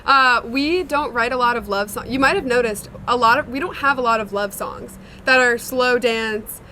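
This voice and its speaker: A woman's voice: fairly high pitch, normal speed, sharp tone, a little hiss or hum. A young woman, a singer-songwriter, answering interview questions in a chatty, reflective way with many fillers. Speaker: songwriter and singer in a sister duo.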